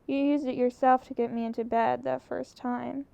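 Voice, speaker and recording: A woman's voice: high-pitched, flat delivery, moderate pace, vocal fry, mellow, dry recording. A woman voicing a restless, earnest young woman photographer in an audiobook. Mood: musing. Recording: muffled lo-fi recording.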